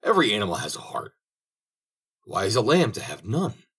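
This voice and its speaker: A man's voice: fairly low pitch, wildly swooping pitch, brisk, fairly bright tone, slightly rough, voice pushed up, exaggerated voice. A man voicing a stern, saintly old man who speaks in solemn, archaic phrases.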